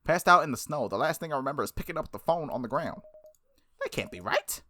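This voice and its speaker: A man's voice: a little high, animated, fast, sharp tone. A man playing a confused, defensive young male protagonist in a visual novel, full of indignant questions.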